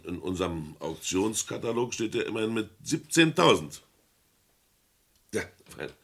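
Deep-voiced, courteous auctioneer. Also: male voice, creaky, fairly bright tone, breathy edge, full character voice. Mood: businesslike. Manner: formal, deliberate, discreet. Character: courteous, measured male London auction-house partner. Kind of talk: 1960s German radio crime play acting.

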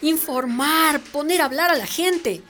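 A woman's voice: high voice, unhurried, a bit bright, soft background music. A woman playing an eager, polite eighteen-year-old youth activist in a radio drama. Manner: earnest, polite.

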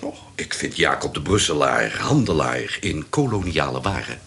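An actor playing a wary, roguish merchant and lover in a Dutch historical radio play, questioning and dryly amused. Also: male voice, rumbling low, big pitch swings, moderate pace, creaky, a bit bright, slightly rough, heavily acted. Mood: wary, pragmatic.

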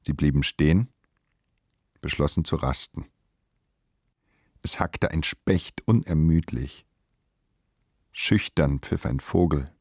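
A man narrates a literary radio play in a measured, solemn and deliberate manner. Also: rumbling low, a little mellow, husky, dry recording, studio quality.

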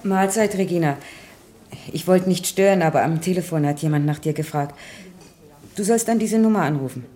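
An actress playing a casual workmate in a naturalistic radio play, speaking plainly. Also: female voice, fairly low pitch, normal speed, warm tone, lightly acted. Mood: matter-of-fact. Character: casual female workmate passing on a phone message.

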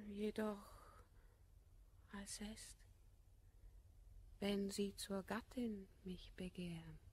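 An actress playing a worldly, self-possessed woman of twenty, declaiming classical German verse with measured elegance in a radio drama. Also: mid-range voice, warm tone, husky. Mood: reluctant, dismayed.

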